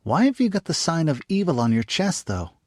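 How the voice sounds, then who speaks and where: Male reader doing a nosy, excitable young girl, pitched up, dry close sound. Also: no echo. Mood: eager. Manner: excited, high and quick. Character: eager nosy young girl, gleefully blunt.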